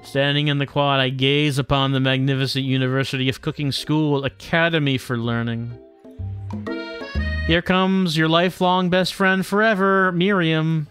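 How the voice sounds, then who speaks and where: A man's voice: fairly high pitch, fairly even intonation, normal speed, music in the background. A man doing casual livestream commentary and reading game text aloud, in a wry, self-deprecating manner. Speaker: game streamer.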